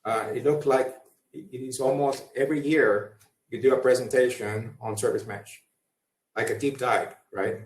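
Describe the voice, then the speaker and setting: A man's voice: mid-range voice, steady tone, normal speed. A man moderating a panel, introducing guests with many fillers and restarts. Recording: echoey room, far from mic.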